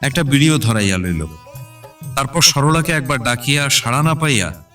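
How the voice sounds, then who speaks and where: A man's voice: mid-range voice, expressive, normal speed, fairly bright tone, far from the mic. A man reading literary narration in a dramatic, scripted audio-story style. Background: background instrumental music.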